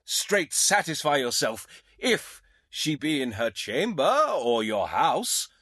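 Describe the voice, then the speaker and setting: A man's voice: on the high side, big pitch swings, takes it slowly, sharp tone, husky, dry recording, clean studio sound, full character voice. An actor playing an anxious young male suitor who pleads earnestly and formally in a dramatised audiobook.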